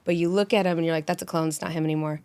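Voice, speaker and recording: A woman in her forties, a med spa injector, telling stories animatedly and quickly in a casual podcast chat. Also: lowish voice, smooth, dry recording. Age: 40s. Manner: animated, rapid storytelling.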